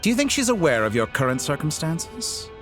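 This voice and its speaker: An actor playing an urbane, condescending video game villain with a formal, theatrical, smugly polite delivery. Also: male voice, a little high, sing-song, fairly quick, fairly bright tone, soft background music. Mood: amused, condescending. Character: urbane, condescending male villain with godlike powers.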